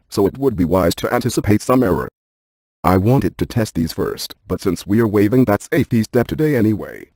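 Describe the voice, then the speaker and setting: An unflappable scientist game hero, low and dark in voice with a slightly husky edge. He rattles off technical detail in a precise, clinical deadpan, recorded close and dry. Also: no echo. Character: brainy, unflappable male scientist who hunts ghosts. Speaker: video game character, ghost-hunting scientist. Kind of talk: scripted game voice lines.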